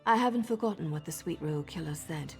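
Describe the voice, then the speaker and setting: A blunt, scornful dark elf assassin woman from a fantasy game, voiced by a woman. Her low voice swings widely in pitch as she cuts people down. A long reverb trails each line, with faint music behind. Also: lively intonation, brisk. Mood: wry.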